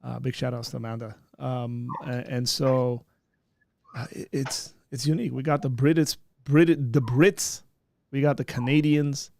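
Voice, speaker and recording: Thirties male host, casual rambler, mid pitch, dry close mic. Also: fairly even intonation, measured pace, vocal fry, a little mellow, no room sound. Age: 30s. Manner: rambling, many fillers and restarts. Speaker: host of a live birthday stream. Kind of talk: casual chat. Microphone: streaming setup with green screen.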